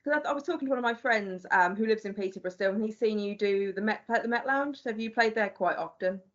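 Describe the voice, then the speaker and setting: Fast-talking woman interviewer. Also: mid-range voice. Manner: fast, with many fillers like sort of. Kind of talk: casual interview. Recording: dull lo-fi audio.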